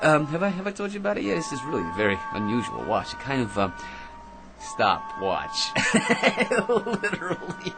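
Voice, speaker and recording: An actor playing an excitable, self-important eccentric in a radio drama, rambling and stammering through grand schemes with theatrical delivery. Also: male voice, fairly high pitch, normal speed, gravelly, music in the background, heavily acted. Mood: eager, sly.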